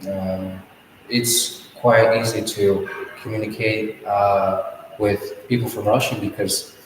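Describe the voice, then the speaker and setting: A man's voice: lowish voice, flat delivery, slow pace, background noise. A teenage boy from Kazakhstan, a student discussing in English with a hesitant delivery full of "uh" and "like". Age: teens. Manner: hesitant, frequent "uh" and "like". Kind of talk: spoken English exam discussion. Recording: echoey room from far off.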